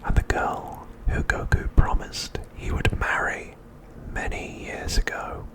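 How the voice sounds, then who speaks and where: Slow whispering man, ASMR narration. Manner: soft, unhurried whispering with deliberate pauses. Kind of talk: whispered ASMR, scripted episode recap narration.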